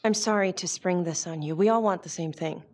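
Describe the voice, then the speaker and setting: An actress playing an earnest, principled prosecutor in a video game, speaking in a measured, serious way. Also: female voice, fairly low pitch, darkish tone, faint music behind, lightly acted. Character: earnest, conscientious female prosecutor, weary but principled. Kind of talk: video game cinematic acting.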